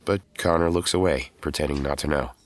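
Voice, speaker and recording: A man's voice: extremely low pitch, brisk. A man, middle-aged, acting as a world-weary former hero turned professor who narrates his own story in an audio drama. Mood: measured narration. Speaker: narrator and lead actor. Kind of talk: scripted first-person audio drama narration. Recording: music under the voice.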